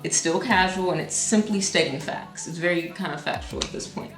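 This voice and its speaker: A young woman, a singer-songwriter, casually explains her own song with many ums and restarts and now and then sings a note; her voice is low. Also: fairly quick, roomy sound, soft background music. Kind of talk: casual music breakdown.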